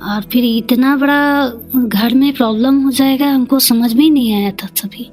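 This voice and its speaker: A woman with an eastern Indian regional Hindi accent telling her personal story in a plain-spoken, halting, colloquial way. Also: high-pitched, a little mellow, soft background music. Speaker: community leader, podcast guest.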